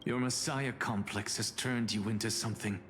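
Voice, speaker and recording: An actor playing a stern, perceptive young warrior in a video game, grave, hushed and accusing in dramatic cutscene style. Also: male voice, lowish voice, fairly even intonation, normal speed, natural voice. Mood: grave reproach. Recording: reverberant hall sound, music behind.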